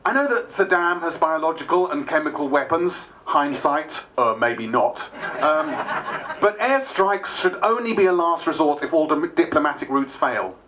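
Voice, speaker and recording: A man's voice: a little high, lively intonation, normal speed, pushed and bright, slight background noise. A man playing a wry, candid diarist who is new to politics, narrating dramatised diary entries.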